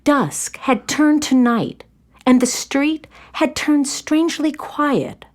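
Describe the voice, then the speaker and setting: A woman's voice: high-pitched, takes it slowly. A woman narrating a scripted story for children.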